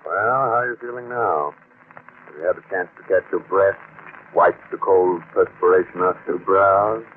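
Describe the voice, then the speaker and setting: An actor playing a sinister, teasing host who narrates a vintage radio mystery. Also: male voice, low voice, moderate pace, faint music behind, lightly acted. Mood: mocking. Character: sinister, teasing horror-show host taunting listeners. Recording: tinny, noisy old-time radio recording.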